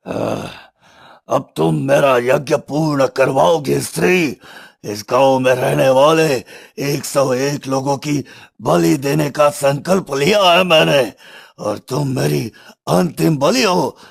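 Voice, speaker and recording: A man voicing a menacing, gloating sorcerer in a cartoon story, with a gravelly, theatrical delivery. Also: a little high, slow pace, full character voice. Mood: gloating threat. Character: menacing male sorcerer plotting human sacrifices in a cave. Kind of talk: Hindi cartoon story voice acting.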